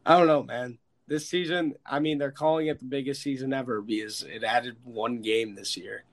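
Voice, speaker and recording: An American man hosting a casual, sweary sports chat, opinionated and emphatic, with a stuffed-up, slightly hoarse voice. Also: medium pitch, fairly even intonation, normal speed. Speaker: sports podcast host. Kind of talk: casual, profane football chat.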